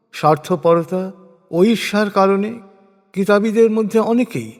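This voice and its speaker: Man, fairly high voice, solemn scripture narration, measured formal phrasing, falling sentence endings, long hall reverb. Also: darkish tone.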